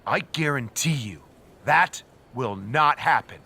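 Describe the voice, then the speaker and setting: A man's voice: medium pitch, expressive, takes it slowly, bright, forceful tone, slightly rough, full character voice. An actor playing a sneering, agitated male schemer in a wrestling game, theatrical and emphatic. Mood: angry denial. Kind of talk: scripted wrestling drama cutscene.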